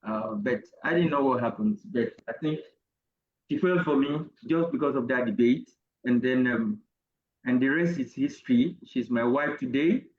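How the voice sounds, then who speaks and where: A middle-aged Nigerian man, an interview guest, telling a personal story in a deliberate, halting academic way. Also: medium pitch, reverberant, lo-fi sound. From Nigeria, Ogun State. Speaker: interview guest, university professor.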